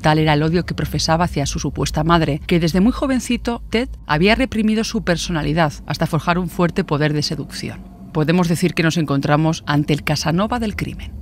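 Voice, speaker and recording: A woman's voice: low voice, a little fast, slight background noise. A woman delivering scripted true-crime narration as a podcast narrator.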